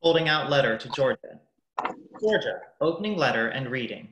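A man's voice: mid-range voice, lively intonation, moderate pace, background noise, natural voice. A man narrating stage directions in an amateur radio play. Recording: roomy, echoing sound.

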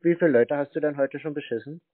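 A man's voice: a little high, fast talker, dry recording. A man on a phone line talking in a stubborn, mock-naive, teasing way as he needles the other caller. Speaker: prank caller confronting phone scammers. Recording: narrow phone-line sound.

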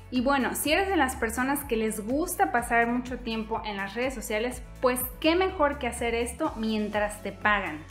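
A young woman hosting her own channel, explaining a topic in an upbeat way straight to her viewers from a room at home. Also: a little high. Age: young adult. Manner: upbeat, direct address to viewers. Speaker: YouTube host. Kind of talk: scripted vlog explainer. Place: indoor room, seated on couch. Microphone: fixed camera, medium shot. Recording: echoey room with soft background music.

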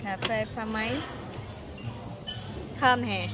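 A woman talks casually as she walks a buffet with a phone camera. Her voice sits a bit high, and her chatter is full of little fillers. Loud music plays underneath. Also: fairly even intonation, slow pace. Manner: chatty, narrating while walking, many fillers. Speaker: travel vlogger. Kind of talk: casual walkthrough vlog. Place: hotel breakfast buffet. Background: loud background music.

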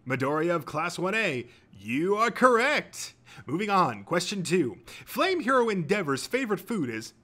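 A man's voice: high voice, big pitch swings, moderate pace, pushed and bright, pitched above the performer's own voice, exaggerated voice. A man voicing an emphatic student quizmaster in an audiobook, announcing in a projected game-show manner. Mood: showy.

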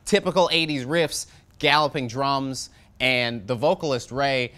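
A man's voice: unhurried, pushed and bright. A man in his thirties hosting a metal review show, delivering a jokey scripted review from a studio set. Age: 30s. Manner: sarcastic, jokey, wide swings in pitch. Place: studio set with shelves of records.

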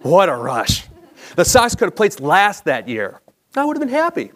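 Animated American man telling a personal story. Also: high-pitched, normal speed. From Chicago area, United States. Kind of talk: scripted personal narration read on stage. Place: theatre stage before a live audience. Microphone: clip-on lapel mic.

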